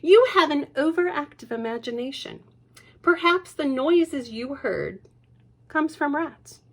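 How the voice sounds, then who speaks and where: A distracted picture-book father who waves his daughter off in a breezy, raised voice, put on by a woman reading aloud. His lines bounce with lively pitch. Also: measured pace, heavily acted. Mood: dismissive.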